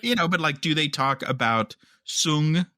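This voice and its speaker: A man's voice: on the high side, expressive, a little slow, pushed and bright, no room sound, studio quality. A man co-hosting a podcast, chatting casually and offering his opinions in a conversational way. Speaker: podcast co-host. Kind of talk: casual chat about entertainment news.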